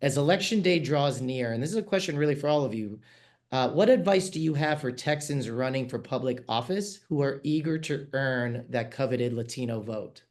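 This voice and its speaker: Guy from new york hosting an online panel, kinda halting, lots of ums, mid voice. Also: medium pitch, expressive, darkish tone. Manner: friendly, with frequent fillers and restarts. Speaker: panel moderator, managing editor. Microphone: video call.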